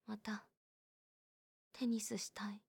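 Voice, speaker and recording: A woman's voice: medium pitch, little pitch movement, takes it slowly, vocal fry, breathy edge, no room sound, studio quality, full character voice. An actress voicing a frank, cheerful teenage schoolgirl who talks candidly and teases a little. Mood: tender. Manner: candid, gently teasing. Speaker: student. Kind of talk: voice drama acting.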